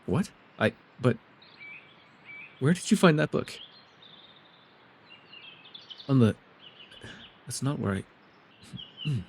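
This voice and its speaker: A man playing a mysterious, soft-spoken elf with a gently teasing, secretive way, in an immersive ASMR roleplay with hesitant, tender asides. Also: medium pitch, lively intonation, measured pace, gravelly, a little hiss or hum. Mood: flustered, guarded.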